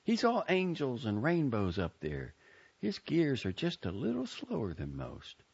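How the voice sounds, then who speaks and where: A man voicing a wry, defensive young woman in an audiobook story, with a dry, sharp-tongued manner. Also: medium pitch, wildly swooping pitch, a little slow, gravelly, slightly rough, highs cut off, pitched above the performer's own voice, heavily acted. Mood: sharp, protective.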